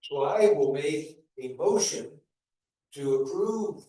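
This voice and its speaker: A man chairs a small town meeting, running through motions and the agenda in a brisk, procedural way. His voice sits a little high and comes from far off in a very echoey room. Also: animated, warm tone. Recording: echoey room, distant mic.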